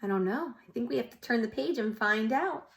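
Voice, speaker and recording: Animated woman reading to preschoolers. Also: on the high side, a little fast, creaky. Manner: expressive storybook reading with questions to children. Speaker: preschool teacher. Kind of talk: reading a picture book aloud to young children.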